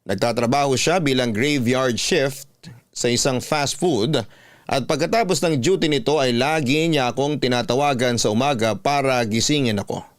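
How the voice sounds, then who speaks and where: A man with a Filipino accent giving sentimental, reflective first-person narration in measured phrases. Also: medium pitch, no echo, clean studio sound. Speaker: storytelling host and narrator.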